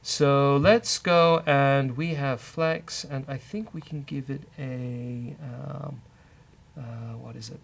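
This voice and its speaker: A man's voice: mid-range voice, steady tone, slow pace, vocal fry. A man with a British accent hosting a casual live coding walkthrough, thinking aloud in a jokey way. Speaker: host of a coding show. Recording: keyboard clatter and clicking noise. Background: typing and mouse clicks.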